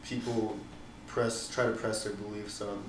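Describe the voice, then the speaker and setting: A young man from the Netherlands answering interview questions casually, pausing often with ums and likes. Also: fairly low pitch, little pitch movement, roomy sound, distant mic, background noise. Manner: hesitant, many ums and likes.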